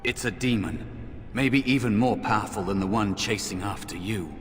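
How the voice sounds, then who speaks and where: An actor playing a wary, anxious spirit companion in a video game, speaking in hushed, cautious tones. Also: male voice, lowish voice, normal speed, faint music behind, natural voice. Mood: uneasy, wary. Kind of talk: video game character acting.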